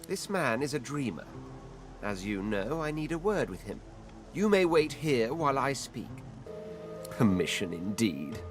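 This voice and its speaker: An actor voicing a haughty, imperious lizard prince in a video game, speaking in an ornate, pompous and commanding way. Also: male voice, mid-range voice, big pitch swings, a little slow, over music. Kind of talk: theatrical video game voice acting.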